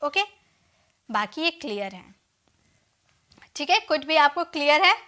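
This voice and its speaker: An Indian woman teaching an English grammar lesson, explaining in Hindi and dictating example sentences slowly word by word. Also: high voice, very animated, bright, forceful tone.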